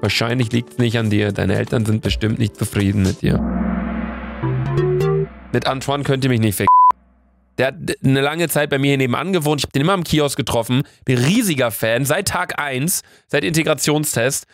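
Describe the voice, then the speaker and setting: A young man with a cold, excitedly playing a meme quiz on stream and reading the answers aloud, talking into a close studio mic. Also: mid-range voice, moderate pace, creaky. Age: young adult. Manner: excitable, reads answers aloud, swears casually. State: has a cold. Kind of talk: casual gaming commentary. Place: indoor room at a desk. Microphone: studio mic near the face. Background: background music.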